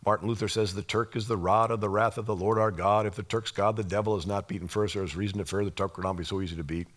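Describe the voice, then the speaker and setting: A man with a slightly raspy voice giving an informal history lecture, explaining things and posing rhetorical questions as he goes. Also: fairly low pitch, fairly even intonation, fast talker.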